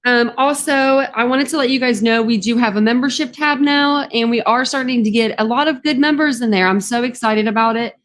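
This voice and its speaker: A woman's voice: fairly high pitch, a little fast, few pauses, fairly bright tone. A woman with a Southern drawl, chatting casually on a livestream. Her voice is a bit scratchy from the morning, and she rambles with plenty of ums. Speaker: true crime YouTuber.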